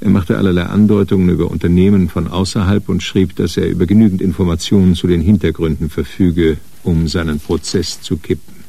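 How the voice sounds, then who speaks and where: A man narrating a crime radio drama in a measured, dry storytelling manner. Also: low voice, level tone, gravelly, dark tone, breathy edge, full character voice. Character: sober male narrator of a detective story.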